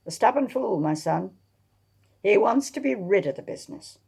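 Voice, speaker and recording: An imperious old dowager as played by a woman reader from a historical novel. Her voice is husky and full of gravel. She barks her scorn like someone used to ruling a whole family. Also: medium pitch, animated, moderate pace, a little mellow, pitched above the performer's own voice, heavily acted. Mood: scornful, commanding. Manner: barking, domineering, contemptuous. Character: imperious, scornful elderly aristocratic matriarch. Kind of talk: dramatised historical novel reading.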